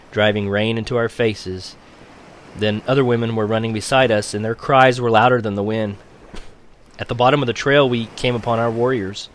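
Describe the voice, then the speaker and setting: Male audiobook reader, lowish voice, measured narration, faint music behind. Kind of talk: read-aloud story narration.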